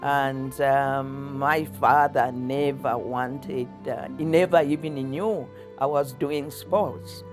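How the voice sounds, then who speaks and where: An older woman with a Zambian accent, recalling her life in conversation in a halting, chuckly way. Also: a bass voice, fairly even intonation, darkish tone, faint music behind. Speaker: interviewee, athlete's mother. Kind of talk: personal recollection in conversation.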